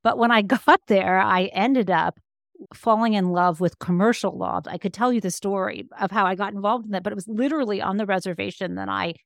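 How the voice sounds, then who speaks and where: A woman's voice: mid-range voice, brisk, vocal fry, dry recording, studio quality. An American woman in her fifties from Michigan, speaking articulately and reflectively as she tells personal stories as an interview guest. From Michigan, United States. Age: 50s. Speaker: interview guest, law professor and author. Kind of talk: conversational personal storytelling in an interview.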